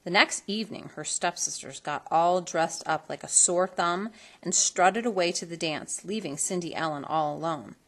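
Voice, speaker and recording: A woman reading a children's storybook aloud in an expressive, theatrical manner that leans on colorful words. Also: fairly low pitch, moderate pace, few pauses. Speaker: storybook reader.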